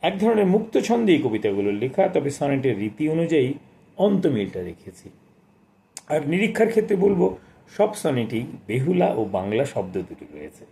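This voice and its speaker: Measured, mellow man discussing books. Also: fairly high pitch, very animated, creaky. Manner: measured, deliberate, formal literary diction. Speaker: book reviewer and poetry reader. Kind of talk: literary book talk with read-aloud passages.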